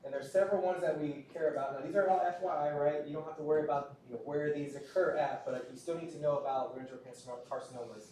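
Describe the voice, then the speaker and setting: Male lecturer, slightly high voice, casual and repetitive, recorded from afar in a very echoey room. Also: steady tone, fast, few pauses, mellow. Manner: conversational, repeats words, frequent "right?" checks. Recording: roomy, distant lecture-hall recording with faint noise.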